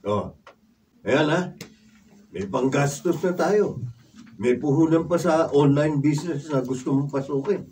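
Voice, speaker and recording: Stubborn, wheedling freeloader brother played by a man, radio drama, higher male voice, recorded off-mic. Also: measured pace, long pauses, a little mellow, recorded from a distance, lightly acted, low-quality recording. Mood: smug. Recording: off-mic room recording, faint noise.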